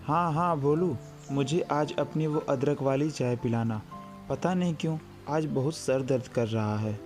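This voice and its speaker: A man's voice: a little high, a little slow, over music, lightly acted. A man playing a kindly, caring village schoolmaster near retirement in a cartoon story. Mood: concerned, friendly. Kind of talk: Hindi cartoon story dialogue.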